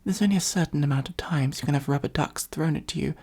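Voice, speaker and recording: Supervillain for ASMR roleplay, acted by a performer: soft, dark and creaky, breathy near-whisper, pompous monologuer with sardonic asides. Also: medium pitch, fast. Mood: wry, concerned. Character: theatrical gloating supervillain, secretly fond of the hero.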